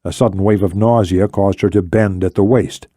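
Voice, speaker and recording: A man's voice: on the low side, steady tone, normal speed, warm tone, dry recording, clean studio sound. A man reading scripted thriller narration as an audiobook narrator.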